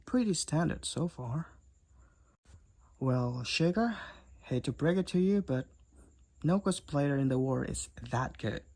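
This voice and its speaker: A woman's voice: low-pitched, lively intonation, unhurried, pauses often, dark tone, exaggerated voice. A woman playing a cocky, teasing demoness college roommate and gamer in a roleplay audio drama, with a wry, theatrical delivery. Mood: smug, teasing. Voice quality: raspy, creaky. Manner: teasing, wry, theatrical, addresses the listener as Sugar.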